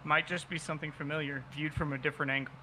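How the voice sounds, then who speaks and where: A man co-hosting a casual podcast, giggly and hesitant, often pausing with fillers. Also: a little high, level tone, background noise. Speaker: podcast co-host. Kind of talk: casual group chat.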